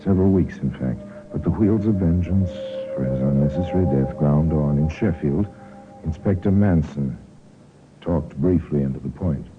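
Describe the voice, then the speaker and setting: A man playing a grave, wry host who narrates crime cases in an old radio drama, brooding and deliberate. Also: a bass voice, music in the background. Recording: tinny, noisy old radio recording.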